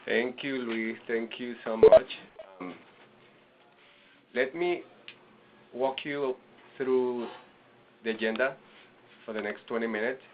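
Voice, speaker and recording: A man from Venezuela, a nonprofit director, presenting a webinar with halting restarts and fillers. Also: mid-range voice, heavy background noise. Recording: lo-fi webinar audio.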